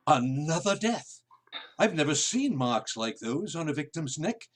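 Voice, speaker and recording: An actor playing an earnest, skeptical physician in a radio play, speaking emphatically. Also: male voice, a little high, big pitch swings, unhurried, faint music behind. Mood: troubled.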